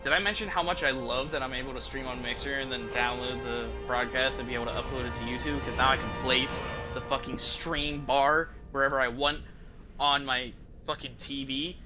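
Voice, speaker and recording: A man doing casual, profane and slangy commentary as he livestreams a video game. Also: mid-range voice. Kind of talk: casual gameplay commentary to stream viewers.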